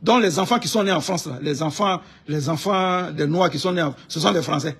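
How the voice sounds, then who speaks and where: A man with an Ivorian accent speaks in a high-pitched, raspy-edged voice. He rants informally about his views in an indignant, emphatic way, often hammering a phrase by repeating it. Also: brisk, a bit bright. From Ivory Coast. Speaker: online political commentator. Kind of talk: informal political rant to camera.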